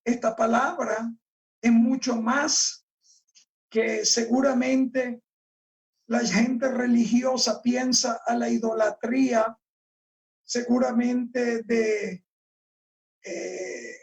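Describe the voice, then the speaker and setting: A male pastor delivers a sermon, reading verses slowly and pressing on each key word. His voice is recorded from a distance in a church, so it sounds a little removed. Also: extremely high pitch, level tone, lo-fi sound. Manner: slow, deliberate, emphatic, stresses key words. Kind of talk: sermon, reading and explaining scripture.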